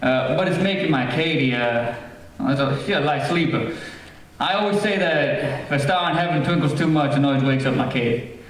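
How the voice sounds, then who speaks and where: A man's voice: on the high side, a little fast, close to an everyday voice. A man playing an affable, nosy, rambling police sergeant in an old-time radio play. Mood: chatty, probing. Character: affable, talkative off-duty police sergeant neighbor. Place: theatre stage. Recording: echoey theatre, distant mic.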